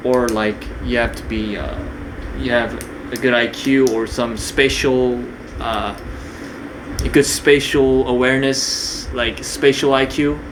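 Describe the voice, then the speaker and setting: A young man from Korea talks unscripted to the camera, stopping often to restart his sentences. His pitch sits in the middle of the range. A steady hum runs under the recording. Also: slow. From Korea, raised partly in New Zealand. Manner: hesitant, many fillers and restarts. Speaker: video creator. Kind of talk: casual unscripted monologue.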